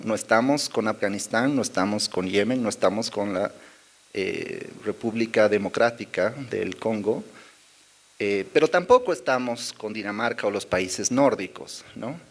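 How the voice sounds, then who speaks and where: A man with a Bolivian accent presenting research charts at a conference, with many pauses, fillers and little tag questions. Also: lowish voice, animated. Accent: Bolivian Spanish. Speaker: conference speaker. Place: conference hall.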